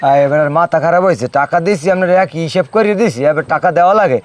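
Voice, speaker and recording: A man's voice: a little high, animated, very fast, natural voice. A man playing a familiar, concerned village nephew in a drama, speaking colloquially with a Barisal accent from southern Bangladesh. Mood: protesting, concerned. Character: familiar, concerned rural nephew, respectful to his uncle.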